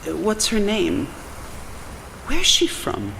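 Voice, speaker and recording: A woman's voice: medium pitch, huge pitch swings, extremely slow, bright, forceful tone, full character voice, rough recording. An actress playing an earnest, searching woman full of wonder, in a theatrical stage drama. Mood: wondering, curious. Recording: live theatre recording, clear background noise.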